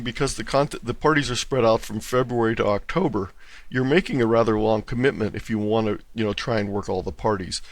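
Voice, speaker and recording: A man with a fairly low voice gives a thorough, orderly explanation as an interview guest. The sound is dry, with no room echo. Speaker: interview guest, contest organizer.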